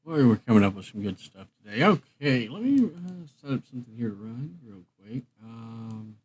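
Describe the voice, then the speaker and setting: Man casually thinking out loud on stream. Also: mid-range voice, big pitch swings, moderate pace, husky. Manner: muttering to himself while choosing tracks. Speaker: live stream host and music creator. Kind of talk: casual rambling stream chat.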